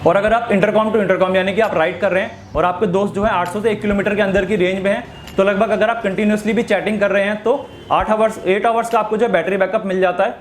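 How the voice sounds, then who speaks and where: A man's voice: high-pitched, steady tone, fast talker. A man giving an informal gadget review, explaining things step by step with repetitive phrasing. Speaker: product reviewer. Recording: echoey room, faint music behind.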